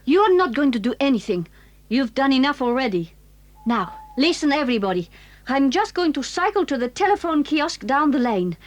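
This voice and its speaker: A high-voiced, bossy, bold schoolgirl. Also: very animated. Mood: decisive. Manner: brisk, bossy, urgent. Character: bold, decisive schoolgirl raised in a circus. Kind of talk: children's radio drama acting.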